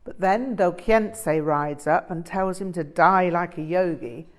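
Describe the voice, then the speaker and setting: A woman's voice: lowish voice, measured pace, close to an everyday voice. A woman reading an audiobook as an earnest, respectful Western male disciple who explains things emphatically. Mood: emphatic, explaining. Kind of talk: audiobook character dialogue.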